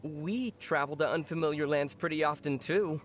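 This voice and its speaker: A young adult actor playing a calm, gently teasing traveler hero in scripted video game dialogue. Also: mid-range voice, moderate pace, soft background music, natural voice. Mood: calm, informative.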